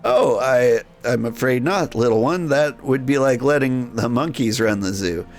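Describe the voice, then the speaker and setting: A man voicing a genial, well-mannered gentleman animal dealer in a video game, genteel and kindly. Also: mid-range voice, expressive, a little slow, faint music behind. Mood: consoling.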